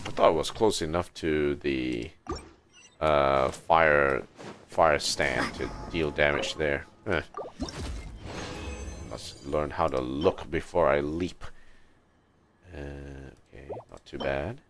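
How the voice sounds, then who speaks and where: Very deep male voice, slow unhurried pace, low-key muttering, casual gaming commentary, from Malaysia, noisy mic with clicks. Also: a bit bright. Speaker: livestream host playing a video game.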